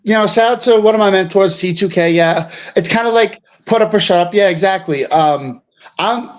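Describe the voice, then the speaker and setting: A man, a wrestler answering interview questions in a halting, casual way, full of fillers. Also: sits high. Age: 30s. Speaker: wrestler guest. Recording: dull lo-fi line.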